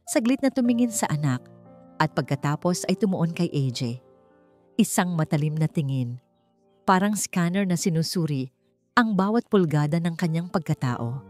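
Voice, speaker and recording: A woman narrating a scripted audiobook love story in a measured, expressive storytelling manner. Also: on the low side.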